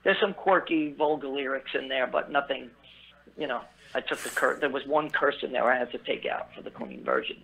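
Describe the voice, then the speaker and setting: A man's voice: medium pitch, a little fast, creaky, breathy edge. A man as a guest musician, chatting in a rambling, upbeat way with many fillers and restarts, heard over a phone call. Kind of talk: casual interview chat. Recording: tinny phone line.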